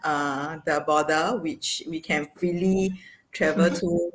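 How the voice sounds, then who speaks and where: Casting: singaporean woman host, somewhat low voice, animated and chatty, many fillers. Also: slow, a little hiss or hum, rough recording. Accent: Singaporean English. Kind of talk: casual interview chat. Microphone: video call.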